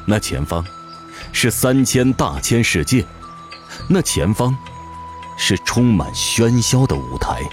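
A man delivering scripted narration as a voice actor, in a dramatic, measured storytelling manner. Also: lowish voice, big pitch swings. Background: music.

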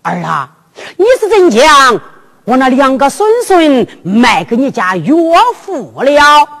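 A woman storyteller voicing an anxious, doting elderly father with a Henan accent, in a drawn-out theatrical delivery. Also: sits high, big pitch swings, a bit bright, exaggerated voice. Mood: anxious, questioning. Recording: long reverberant hall echo.

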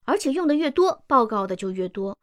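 A woman's voice: fairly high pitch, sing-song, brisk, fairly bright tone, no echo, clean studio sound. A woman playing a timid, earnest, educated employee in a radio drama, hesitant and deferential as she speaks up. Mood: earnest, objecting. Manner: hesitant, deferential, meekly objecting.

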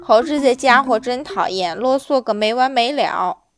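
A woman's voice: on the high side, lively intonation, normal speed, faint music behind. A woman voicing a polite, earnest little onion boy in a children's adventure story. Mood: kindly. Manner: courteous, earnest.

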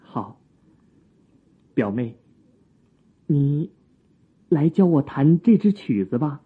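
An actor playing an earnest young court musician of old China in a Chinese radio drama, husky and measured, with literary diction. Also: male voice, a little high, sing-song, full character voice. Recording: muffled narrow-band, music behind.

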